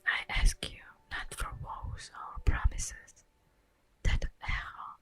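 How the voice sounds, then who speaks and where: A woman playing a solemn, tender elf guardian of the forest in a breathy, whispered ASMR roleplay, speaking formally and poetically. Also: a bit bright. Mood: tender, earnest. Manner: slow, formal, poetic, drawn-out delivery.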